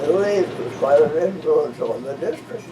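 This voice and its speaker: A man over one hundred years old, originally from western Missouri, talking with a visitor in a slow, halting way and searching for names. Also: on the high side, animated. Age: 100s. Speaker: interviewee, retired minister. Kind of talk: casual conversation. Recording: distant mic with background noise.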